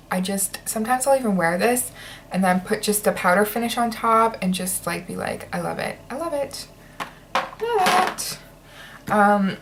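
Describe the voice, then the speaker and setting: Woman beauty vlogger, casual and rambling, slightly nasal from a stuffy nose, faint noise in the background. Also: mid-range voice. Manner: chatty, many ums and likes. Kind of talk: casual product review.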